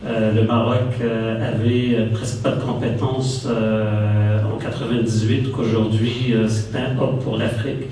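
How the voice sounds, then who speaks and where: A man's voice: fairly low pitch, level tone, unhurried, hardly any pauses, mellow, slight background noise. A man born in Morocco, giving a hesitant conference talk full of fillers and restarts. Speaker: panel speaker. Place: large conference hall. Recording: echoey hall, distant mic.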